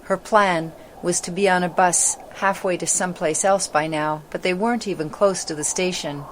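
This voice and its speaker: A female voice, set low, reads a children's story. The delivery is scripted, dramatic narration. Some background noise sits behind the voice. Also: steady tone, normal speed.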